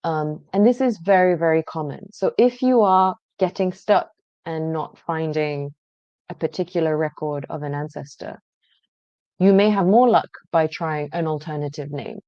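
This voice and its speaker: A woman presenting a genealogy database, explaining each step in a conversational way with frequent pauses. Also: low voice, dry recording, highs cut off. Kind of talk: screen-share database walkthrough.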